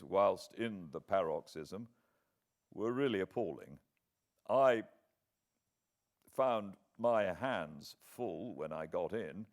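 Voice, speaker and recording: A British man narrating a classic novel as an audiobook, with measured, expressive dramatic storytelling. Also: low voice. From Britain.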